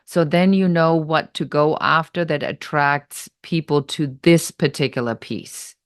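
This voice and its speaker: A woman from Germany with a German accent, answering interview questions in a deliberate, explanatory way. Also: deep voice, no echo, clean studio sound. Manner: deliberate, explanatory, pace shifts between slow and quick. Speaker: business strategist guest.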